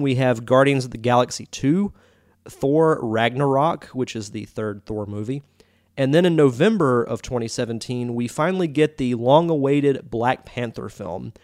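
A man's voice: medium pitch, darkish tone, no echo, clean studio sound. A man hosting his podcast, talking in a chatty, enthusiastic way while congested with a sore throat. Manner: chatty, enthusiastic, with many fillers. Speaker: podcast host. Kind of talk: casual podcast monologue.

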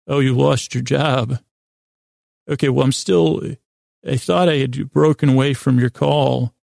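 Creaky-voiced, mellow man rambling gently. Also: medium pitch, steady tone, no room sound, studio quality. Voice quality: creaky, gravelly. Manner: meandering, halting, self-interrupting, goofy. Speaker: podcast host. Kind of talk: rambling improvised bedtime podcast monologue.

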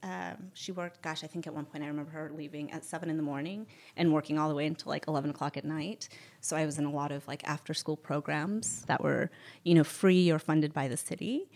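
A young woman from Spain, talking candidly as an interview guest, with lots of ums and quick laughs. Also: sits low, fairly even intonation, a little mellow. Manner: candid, chatty, laughs often, many ums. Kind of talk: casual interview chat.

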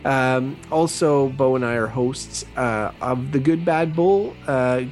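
A man's voice: medium pitch, slow pace, a little mellow, faint music behind. A man co-hosting a podcast in casual banter, chatty and rambling, with many fillers. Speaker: podcast co-host.

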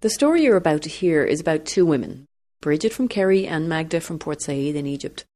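Low-voiced woman narrating a documentary. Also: expressive, brisk, background noise. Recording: music bed under voice.

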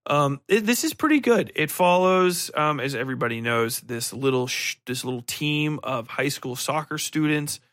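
A man's voice: on the high side, expressive, moderate pace, hardly any pauses, a bit bright, dry recording, studio quality. A man giving a casual, unscripted podcast review, rambling and correcting himself, with blunt, sweary opinions. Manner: rambling, hesitant, self-correcting. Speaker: podcast host reviewing books and TV.

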